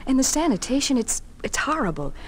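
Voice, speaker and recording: An actress playing an anxious, horrified nurse in an old radio drama, urgent and faltering. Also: female voice, high-pitched, sing-song, a little fast. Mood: distressed. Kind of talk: 1950s radio drama acting. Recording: noisy old 1950s radio recording.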